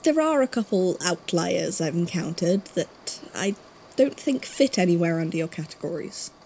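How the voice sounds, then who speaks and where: A woman playing a guarded, thoughtful investigator in an audio drama, speaking haltingly. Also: lowish voice, animated, darkish tone, a little hiss or hum, low-quality recording. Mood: hesitant, thoughtful. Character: guarded, puzzled investigator from a supernatural archive.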